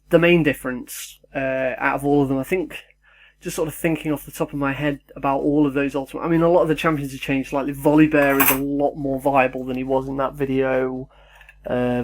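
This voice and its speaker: A young man, rambling casually and self-deprecatingly in his home kitchen as a YouTuber. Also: medium pitch. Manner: chatty, sidetracked, self-deprecating.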